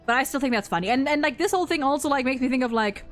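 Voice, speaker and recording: A woman streamer chatting casually in an animated, rambling way, emphasising points by repeating words. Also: high-pitched, very fast, faint music behind. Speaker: stream host. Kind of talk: casual chat, ranking game story quests.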